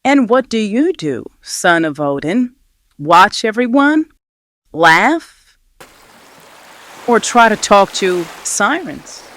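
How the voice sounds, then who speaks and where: A woman playing an alluring, challenging sea siren in a mythic audio drama, speaking in a deliberate, probing, theatrical way. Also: medium pitch, very animated, a bit bright, dry recording. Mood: taunting.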